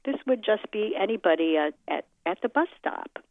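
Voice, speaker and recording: A middle-aged American woman giving reflective interview answers in a measured, deliberate way, pausing often as she thinks. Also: mid-range voice, animated, vocal fry, no room sound. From United States. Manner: measured, deliberate, with frequent pauses. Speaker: interviewee, concert pianist. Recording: muffled narrow-band phone or radio-like sound.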